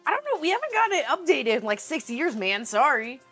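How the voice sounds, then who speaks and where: Roleplay engineer: tall, defensive, a bit hesitant, lively swings in pitch, played by a woman. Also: fairly quick, faint music behind. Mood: uneasy. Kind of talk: casual improvised tabletop roleplay.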